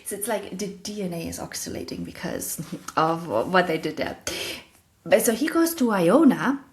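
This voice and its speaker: A woman with a German accent hosting a casual solo talk, rambling and correcting herself as she goes, often laughing at herself. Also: medium pitch. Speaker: podcast host.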